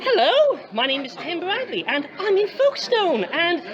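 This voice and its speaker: A man's voice: extremely high pitch, big pitch swings, moderate pace, highs cut off. A man, interviewing informally in an eager, chatty way and often repeating himself. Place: busy fan convention. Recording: loud crowd chatter, convention hall noise.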